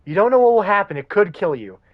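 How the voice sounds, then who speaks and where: A man's voice: high-pitched, big pitch swings, fast, dry recording, dull audio, voice pushed up. A man reading a wary, guarded woman fighter. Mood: alarmed.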